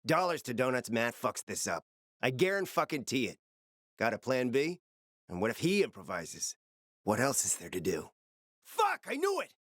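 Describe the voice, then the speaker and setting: A man playing a suave, flirtatious, scheming spymaster in a video game, with a smooth, theatrical, sardonic and teasing manner. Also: medium pitch, huge pitch swings, normal speed, a bit bright, no room sound, clean studio sound. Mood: urgent, sardonic. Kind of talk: video game character acting.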